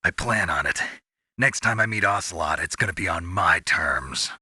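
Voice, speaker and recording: A man playing a gruff, sardonic, tough covert-ops soldier in a video game, with a gravelly voice and terse, wry delivery. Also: low voice, animated, moderate pace, bright, forceful tone, no room sound, clean studio sound, heavily acted. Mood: grim, determined. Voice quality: gravelly, raspy.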